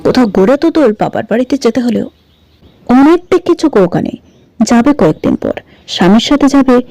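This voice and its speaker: A woman voicing carping in-laws who scold a young daughter-in-law in an audio story, sharp and reproachful. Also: on the high side, lively intonation, normal speed.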